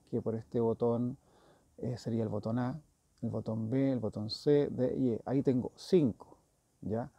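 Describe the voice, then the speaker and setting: A man's voice: medium pitch, dark tone, dry recording. A man giving a casual hands-on review as a motorcycle gear reviewer, informal and thinking aloud.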